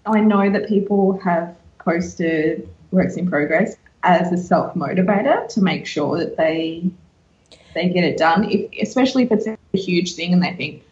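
A woman's voice: lowish voice, unhurried, a little mellow. A woman talking casually as a co-host on a podcast. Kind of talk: casual chat. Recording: roomy, echoing, dull lo-fi audio.

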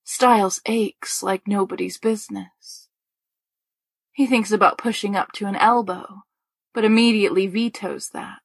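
A woman narrating fiction with a deadpan, wry delivery. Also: mid-range voice, measured pace, creaky, slightly rough, no echo. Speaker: audio fiction reader. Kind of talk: story narration.

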